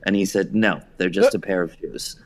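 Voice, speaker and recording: Middle-aged American male, very low register, self-deprecating raconteur in an interview, some background noise. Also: moderate pace. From New Jersey, United States. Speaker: podcast guest, theater director and writer. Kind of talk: anecdotal interview storytelling.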